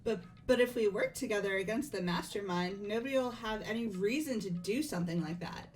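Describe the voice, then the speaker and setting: A woman plays a teenage schoolgirl from a video game. Her voice sits at a middle pitch, articulate and measured, with music in the background. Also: close to an everyday voice. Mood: encouraging. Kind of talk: amateur game voice acting.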